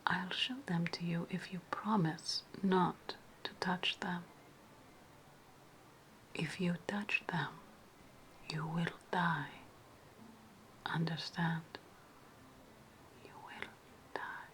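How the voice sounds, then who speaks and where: A woman playing a sly, menacing ancient Roman witch hostess in a whispered ASMR roleplay, speaking deliberately with repeated phrases and lingering hums. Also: fairly low pitch, steady tone, fairly bright tone, a little hiss or hum. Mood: quietly menacing.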